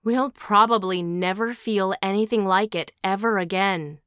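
A woman's voice: medium pitch, normal speed, fairly bright tone, clean tone, no echo, studio quality, close to an everyday voice. A woman playing a wistful, pleading aunt who clings to her past child stardom in an audio drama.

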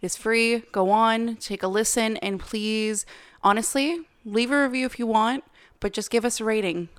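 Young woman with a smooth, soothing voice. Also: a little high, steady tone, normal speed. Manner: warm, grateful, chatty. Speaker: podcast co-host. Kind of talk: casual podcast chat.

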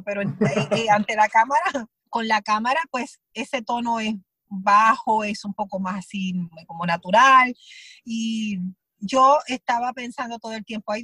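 A woman's voice: fairly high pitch, dry recording. A woman actress from Puerto Rico with a Puerto Rican accent, telling anecdotes in an interview, acting out her own thoughts as she goes. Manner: animated storytelling, acts out her own thoughts in quotes. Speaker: actress, guest. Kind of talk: conversational interview.

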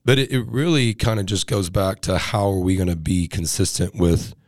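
A man with a deep, low voice, talking earnestly and casually. He restarts his sentences and checks in with "right?" a lot. The sound is close and dry. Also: moderate pace, no echo. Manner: earnest, many restarts, tags lines with right?. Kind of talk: casual chat.

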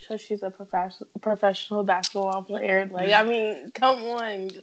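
A woman host with a voice at an ordinary middle pitch, asking her guest questions. She teases and keeps things upbeat and playful. Her talk is casual and chatty. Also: fairly even intonation, a little slow, highs cut off, slight background noise. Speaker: podcast host. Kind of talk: casual interview chat.

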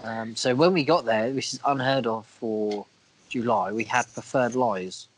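A man with a croaky voice from a cold, co-hosting a podcast in casual, self-deprecating chat. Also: mid-range voice, measured pace, poor audio. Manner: chatty, self-deprecating, a bit crude. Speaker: podcast co-host.

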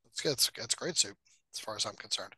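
A man's voice: lowish voice, lively intonation, quick pace, sharp tone, slightly rough, dry recording. A man co-hosting a podcast in casual, informal conversation with some swearing. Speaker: podcast co-host. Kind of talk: casual chat about movies and video games.

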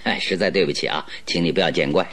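An actor playing a shrewd, playful master detective in a Mandarin radio drama, with a leisurely, theatrical, self-assured manner. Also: male voice, low-pitched, quick pace, fairly bright tone. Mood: explaining, triumphant. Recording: faint music behind the voice.

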